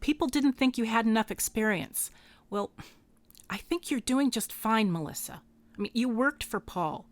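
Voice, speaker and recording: A woman's voice: fairly high pitch, lively intonation, normal speed, natural voice. A woman playing a plain-spoken, wry private investigator in a radio drama, informal in manner. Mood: earnest, supportive. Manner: sardonic, informal. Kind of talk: contemporary radio drama acting.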